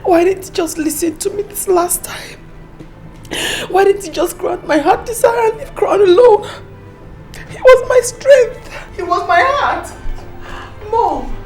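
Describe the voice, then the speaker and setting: A woman's voice: extremely high pitch, measured pace, darkish tone, soft background music. An actress playing a grieving young mother who has lost her son, sobbing and pleading. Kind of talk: film drama acting.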